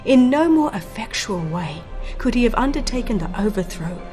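A woman reading scripted dramatic audiobook narration in a formal, solemn and deliberate storytelling manner. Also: a little high, very animated. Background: background music.

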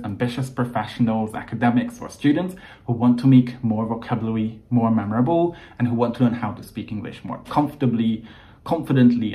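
A man's voice: mid-range voice, mellow. A man in his thirties with a Hungarian accent, an English fluency coach giving an earnest, emphatic video lesson to camera from a small room at home. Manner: earnest, emphatic, gestures a lot with hands. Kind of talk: scripted YouTube lesson to camera.

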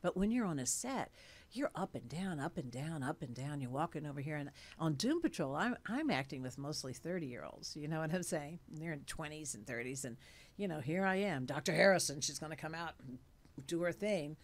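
Woman in her sixties, very low pitch, animated, chatty, fillers, studio mic interview. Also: a little fast. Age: 60s. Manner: animated, chatty, many fillers, gestures a lot. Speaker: actress guest. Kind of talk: casual interview chat. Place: studio with dark curtains and blue lights. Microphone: studio mic on a stand.

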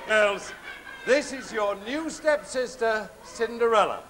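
A man's voice: very high-pitched, expressive, slow pace, a bit bright, full character voice. An actor playing a kindly, jolly father in a stage pantomime, exclaiming playfully and projecting to the audience. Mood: warm, genial. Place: large theatre stage. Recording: noisy theatre stage video with audience.

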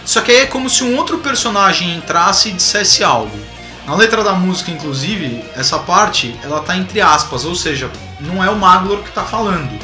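A man's voice: high voice, moderate pace. A man in his forties with a Brazilian accent, giving casual, colloquial explanatory commentary as a video host. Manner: animated, colloquial, rhetorical asides. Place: small indoor home room with bookshelves. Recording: roomy echo, music behind.

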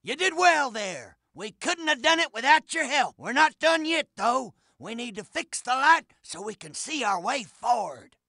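An actor playing a folksy, excitable, earnest train engineer in an animated video game, exclaiming in urgent rhymes. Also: male voice, measured pace, hardly any pauses, dry recording, full character voice. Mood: earnest, encouraging. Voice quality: high-pitched, creaky. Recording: loud engine noise behind.

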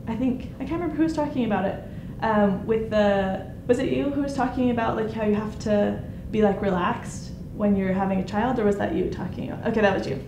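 A young woman giving a casual conference talk, pausing often with ums. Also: mid-range voice. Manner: hesitant, many ums. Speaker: conference speaker. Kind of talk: informal presentation. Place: conference room with projector screen. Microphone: handheld mic. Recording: echoey room, noisy.

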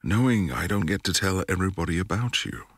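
A man playing an affectionate, playful young boyfriend in intimate ASMR roleplay, murmuring breathily with lingering hums and pauses, close to the mic. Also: low-pitched, lively intonation, a bit bright, breathy edge, no room sound, exaggerated voice. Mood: tender. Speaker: audio roleplay performer. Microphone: close, dry microphone.

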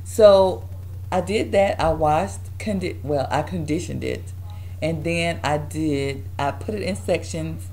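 A young woman, her voice hoarse and raspy from yelling, talks through a hair tutorial at an unhurried pace. Her voice sits a little low for a woman. She is recorded on a close webcam in a dining room, with a faint hum behind her. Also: poor audio. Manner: chatty, rambling, with fillers and restarts. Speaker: vlogger. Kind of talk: casual hair tutorial chat. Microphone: webcam at close range.

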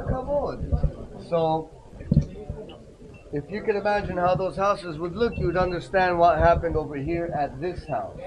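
A man leads a tour group through outdoor ruins, spinning the story with lively energy and tossing questions to his listeners. His voice sits a little high. Crowd chatter runs behind him, picked up by a body-worn camera mic. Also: low-quality recording. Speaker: tour guide. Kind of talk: guided site tour explanation.

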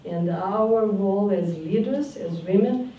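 A woman's voice: medium pitch, measured pace, dark tone, muffled recording, a little hiss or hum. An older woman speaking on a panel, searching for words and adding "no?" tags, on a handheld mic in a conference room. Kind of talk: panel discussion talk. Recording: roomy hall from a distant mic.

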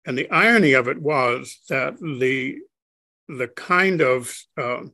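Older man with a gravelly voice on a video call. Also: medium pitch, sharp tone, no room sound. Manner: slow, halting, many restarts and fillers. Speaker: interview guest. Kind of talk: reflective conversation. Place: home study, indoors.

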